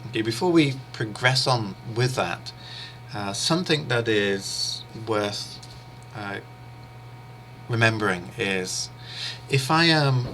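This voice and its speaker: A man whose first language is English, teaching an interpreting course in a steady, explanatory way with pauses and fillers. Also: lowish voice, slight background noise. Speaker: interpreting instructor. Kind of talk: explanatory online lecture.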